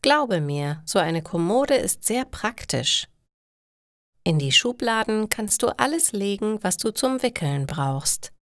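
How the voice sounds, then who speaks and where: A woman voicing a caring, practical mother of a teenage girl in a learner's story, gently reassuring and explanatory. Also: medium pitch, sing-song, takes it slowly, dry recording, studio quality, voice pushed up. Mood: practical, explaining. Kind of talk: acted dialogue in a learner's story.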